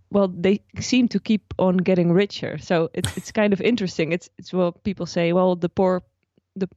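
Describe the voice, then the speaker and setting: A woman's voice: lowish voice, warm tone, no echo, lo-fi sound. A Dutch woman, a personal finance blogger, explaining her ideas at length as a guest in a podcast interview, with frequent restarts. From Netherlands.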